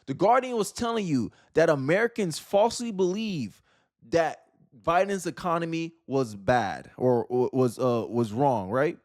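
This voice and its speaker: A man's voice: on the high side, lively intonation, a little slow, a little mellow. A man hosting political commentary, talking casually and emphatically to viewers with repeated phrases and rhetorical questions.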